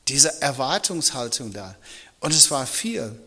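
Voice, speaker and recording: A middle-aged man, a native English speaker, preaching as a pastor in a halting, reflective, personal manner. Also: mid-range voice, big pitch swings, sharp tone. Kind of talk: sermon in German. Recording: long reverb of a large hall.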